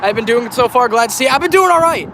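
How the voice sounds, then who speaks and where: A high-pitched man talks casually to his chat on a phone stream, his voice a bit off from a cold. He stumbles, restarts and corrects himself as he goes. Loud highway traffic and wind roar behind him outdoors. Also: lively intonation, fast. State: recovering from a cold, coughing. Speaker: railfan livestreamer. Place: outdoors on a bridge by a busy highway. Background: heavy traffic and wind.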